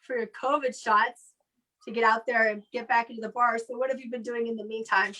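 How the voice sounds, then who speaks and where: A woman's voice: fairly high pitch, fairly even intonation, brisk, sharp tone, low-quality recording. A woman hosting a casual online comedy chat from home, rambling along and acting out what people said. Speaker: host of an online comedy show. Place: home, video call.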